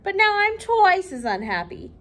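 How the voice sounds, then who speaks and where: A miserable old king wails and sobs his complaints in a high, whiny voice that swoops up when he is upset, as a woman storyteller puts him on. He sounds sorry for himself and close to tears. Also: sing-song, moderate pace. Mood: wailing. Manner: wailing, sobbing, whiny. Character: weeping self-pitying old king, man.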